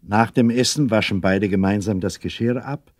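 A man narrating a children's radio play as a storyteller, in a measured, deliberate manner. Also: fairly low pitch, a little mellow, no room sound, clean studio sound, lightly acted. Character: calm male storyteller addressing young listeners.

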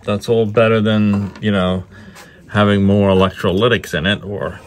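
A man's voice: on the low side, little pitch movement, moderate pace. An older man, a repair technician, chatting casually as he works in a small workshop. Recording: clear background noise, workshop clicks.

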